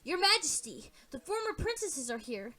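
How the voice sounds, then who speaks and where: An urgent royal pony guard, voiced by a woman storyteller, pitched very high and loud. The messenger pushes every phrase forcefully, as in a homemade cartoon radio play. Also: sing-song, moderate pace, full character voice. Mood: urgent, panicked.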